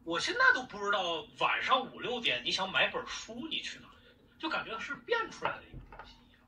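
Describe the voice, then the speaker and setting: A man's voice: high voice, wildly swooping pitch, fast talker, pushed and bright. A man talking casually in a conversation. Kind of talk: casual chat. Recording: distant, clearly noisy playback.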